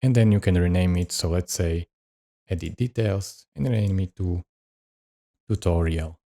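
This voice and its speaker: A man hosting a step-by-step software tutorial, narrating each instruction. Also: low voice, moderate pace. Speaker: tutorial host.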